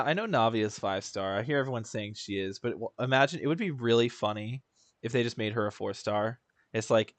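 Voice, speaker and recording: A man's voice: fairly low pitch, no echo. A young man giving casual, chatty reaction commentary while playing a game, thinking aloud and laughing. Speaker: gaming streamer.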